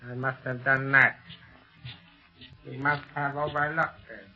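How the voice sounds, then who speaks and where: A man's voice: mid-range voice, flat delivery, unhurried, soft background music, lightly acted. Man acting a fearful, servile villain's henchman. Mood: anxious muttering. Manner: obsequious, anxious, formal phrasing. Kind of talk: 1930s radio serial acting. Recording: dull vintage 1930s radio recording.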